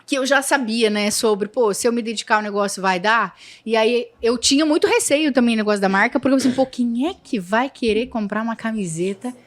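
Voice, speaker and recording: A woman with a Santa Catarina accent speaks as an interview guest. She talks earnestly, circling back and restarting her sentences as she goes. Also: on the high side. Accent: Santa Catarina Brazilian Portuguese. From Santa Catarina, Brazil. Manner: earnest, with many fillers and restarts. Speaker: guest entrepreneur. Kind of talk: casual interview talk.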